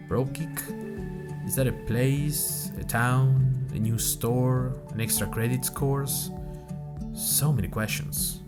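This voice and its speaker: Male actor for wry, bemused college-age guy, fiction podcast diarist, mid-range voice, musing first-person narration. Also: medium pitch. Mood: curious, wry. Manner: dry, wry, musing in short deliberate phrases. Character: bemused, wry male university student keeping an audio diary.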